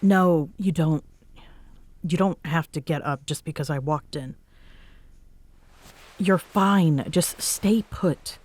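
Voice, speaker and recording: A woman's voice: low voice, slow pace, long pauses, darkish tone. A woman playing a tired, sarcastic bartender roommate who teases but is secretly fond, in an intimate roleplay audio. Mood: drowsy teasing. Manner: sarcastic, teasing, hesitant when sincere. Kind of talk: roleplay audio acting, intimate one-sided conversation.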